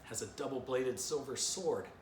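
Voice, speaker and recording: A man's voice: mid-range voice, normal speed, close to an everyday voice. An anxious, alarmist female dog from a children's storybook, acted out by a man, with dramatic urgency. The recording is roomy and echoing, with noise behind it. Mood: panicked. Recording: roomy echo, clear background noise.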